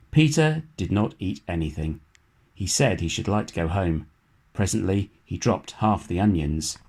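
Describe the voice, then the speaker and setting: A man narrating a children's storybook in a measured, expressive read-aloud manner. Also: fairly low pitch. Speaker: storybook reader.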